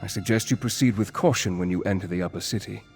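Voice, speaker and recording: An actor playing a suave lesser noble in a fantasy video game, measured, articulate and wry as he shares gossip. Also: lowish voice, a little mellow, faint music behind, lightly acted.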